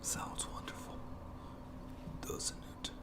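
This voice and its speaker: A man's voice: slow pace, natural voice. A man voicing a sadistic, playful monster in a horror ASMR roleplay, whispering with taunting mock courtesy. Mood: sadistic, musing. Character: sadistic, playful, menacing monster lurking in its lair.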